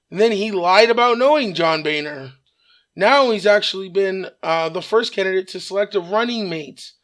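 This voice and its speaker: A man with a raspy voice hosting his own news commentary show, earnest and measured, with frequent "uh" fillers. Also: sits high, fairly bright tone.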